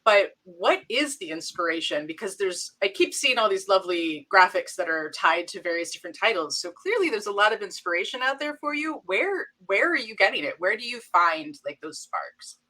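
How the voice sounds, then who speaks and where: A woman with a bright, crisp voice hosts a casual interview. She asks friendly, loosely phrased questions, trailing into fillers as she goes. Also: medium pitch, very animated, normal speed, few pauses. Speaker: interview host.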